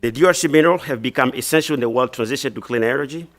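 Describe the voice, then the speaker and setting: A man from Congo in his thirties, reading formal prepared testimony as a witness at a hearing, measured with occasional restarts. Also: medium pitch, a bit bright. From Democratic Republic of the Congo. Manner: measured, reads prepared text with some restarts.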